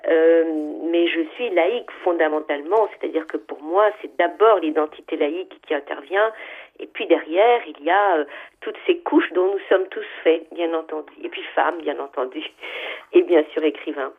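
An older woman from Algeria, a writer, answers a radio interview in a thoughtful, hesitant way, stopping often to search for words. Her voice sits fairly low. The sound comes through narrow and tinny, like a phone line. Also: normal speed, slightly rough. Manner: thoughtful, hesitant with many fillers, lists ideas in a row.

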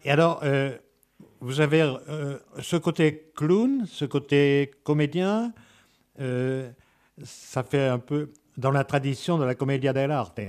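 A man working as an interviewer, putting unscripted questions in a halting way and often rewording them as he goes. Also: mid-range voice, a little mellow.

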